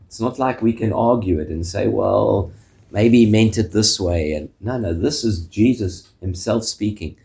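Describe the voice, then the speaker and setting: A man with a South African accent preaching a sermon as pastor, measured, often ending points with a questioning "eh?". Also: fairly low pitch, gravelly, darkish tone.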